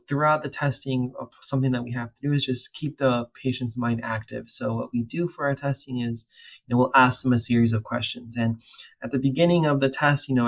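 Young man, flat voice, video call. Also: medium pitch, low-quality recording. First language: Spanish. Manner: relaxed, many fillers and restarts. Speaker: audiologist and PhD student. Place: room at home.

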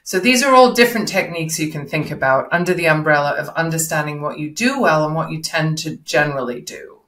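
Woman, middle-aged, lowish voice, instructive coaching style, rhetorical questions, roomy video-call sound. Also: brisk, a bit bright. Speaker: voice and public speaking coach, interview guest. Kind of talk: explanatory coaching advice in an interview. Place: indoor room, video call. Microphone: video call, no headset seen. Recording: echoey room over video call.

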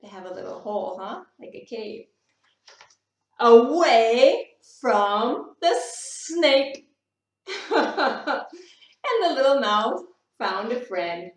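Slow, very animated woman reading to children. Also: a little high. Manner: animated, questioning, pausing for young listeners. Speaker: English teacher reading to children. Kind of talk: children's picture-book read-aloud. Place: small room indoors. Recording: roomy, distant webcam sound.

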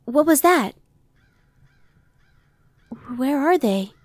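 A woman's voice: high voice, lively intonation, extremely slow, no room sound, full character voice. A woman playing a casual, dry-witted female demon scout in an ASMR roleplay audio drama. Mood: surprised. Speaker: voice actor.

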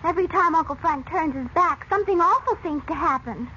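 An excitable teenage girl, fond of her family. Her voice is very high and bright, in old radio drama style. The recording is dull. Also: a little fast, a little hiss or hum. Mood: concerned. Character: excitable, affectionate teenage daughter. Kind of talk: 1930s radio serial acting.

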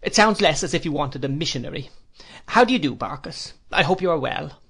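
A man's voice: on the high side, animated, a little fast, fairly bright tone, breathy edge, heavily acted. A man reading aloud, voicing a brusque, commanding elderly aunt with clipped, imperious speech. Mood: brisk.

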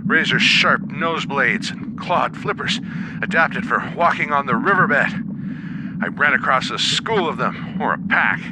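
A man's voice: mid-range voice, lively intonation, measured pace, heavy background noise, close to an everyday voice. A man playing a wry veteran wasteland soldier, telling war stories conversationally as the storyteller of an audio drama. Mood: wry storytelling. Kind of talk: in-character storytelling over radio. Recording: battle sound effects behind the voice.

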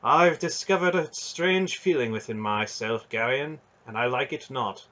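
A man's voice: medium pitch, unhurried, pushed and bright, muffled recording, voice pushed up. A man voicing a brooding noble knight who speaks in an archaic, earnest and declaiming way. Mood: troubled, solemn. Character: brooding noble male knight, formal and solemn.

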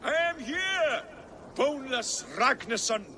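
A man's voice: very high voice, expressive, slow pace, noisy background, full character voice. An actor playing a proud, defiant older king in a video game, speaking with scorn. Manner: scornful, haughty. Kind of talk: video game cutscene acting.

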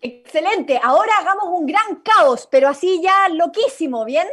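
High-voiced Chilean woman directing a workshop. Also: animated, moderate pace, a bit bright. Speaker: workshop facilitator directing radio play. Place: indoors at home, video call. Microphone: computer mic on video call.

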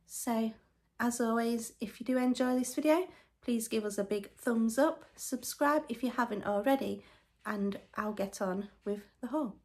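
Unhurried woman chatting through a haul. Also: medium pitch, fairly bright tone. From England. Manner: chatty, matter-of-fact listing. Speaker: family vlogger. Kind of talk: grocery haul vlog. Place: living room at home, then kitchen counter.

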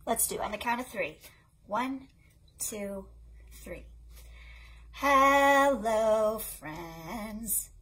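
A woman in her thirties presenting a storytime for young children in an animated, sing-song, encouraging way. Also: a little high, breathy edge. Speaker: children's librarian hosting an online storytime. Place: small indoor play tent set up for recording.

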